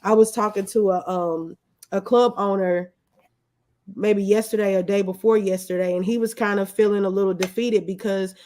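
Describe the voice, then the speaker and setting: A woman's voice: medium pitch, steady tone, moderate pace, mellow. A woman speaking as an interview guest, a small business owner talking casually and candidly through personal anecdotes.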